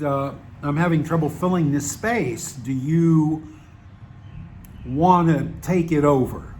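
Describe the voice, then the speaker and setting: A man's voice: fairly high pitch, slight background noise. Rambling wry Southern American man. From Southern United States. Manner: wry, digressive, many fillers and restarts. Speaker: channel host. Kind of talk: rambling casual monologue.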